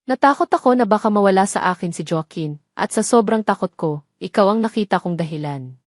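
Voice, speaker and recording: A woman voicing a remorseful, frightened mother in a romantic audio drama, pleading and halting with the man she loves. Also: medium pitch, animated, no room sound, studio quality, close to an everyday voice.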